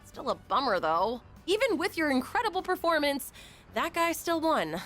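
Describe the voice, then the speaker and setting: An actress playing a peppy, encouraging teenage team manager in a video game, bubbly and enthusiastic. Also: female voice, high-pitched, very animated, moderate pace, a bit bright, soft background music. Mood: let down.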